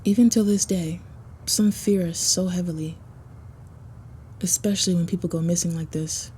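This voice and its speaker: Over noticeable background ambience comes a woman playing a female vampire with a lowish, very mellow voice. She is a self-assured, aloof wanderer with a teasing streak, speaking in measured lines as in an intimate roleplay drama. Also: steady tone, slight background noise, close to an everyday voice. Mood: brooding, calm. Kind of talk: first-person dramatic roleplay narration.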